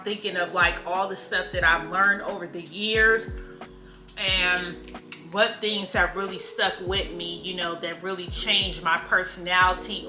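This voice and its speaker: A woman's voice: medium pitch, sharp tone. A woman, talking candidly to camera in a casual motivational vlog. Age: 30s. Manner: candid, chatty, drawn-out emphatic phrases. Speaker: vlogger. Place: room at home. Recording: echoey room with faint music.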